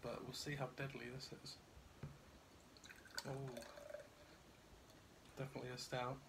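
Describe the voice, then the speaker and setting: Brief: thirties male home reviewer, gravelly mid-pitched voice, chatty and self-amused, recorded on a tabletop camera in a kitchen. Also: little pitch movement, a little slow, very noisy. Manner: chatty and rambling, laughs at himself. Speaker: beer reviewer. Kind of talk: casual chat to camera.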